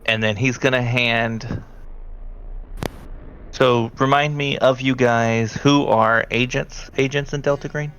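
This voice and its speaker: A man's voice: mid-range voice, fairly even intonation, takes it slowly, fairly bright tone, smooth. A man improvising narration as a tabletop game master, talking conversationally and directly to his players.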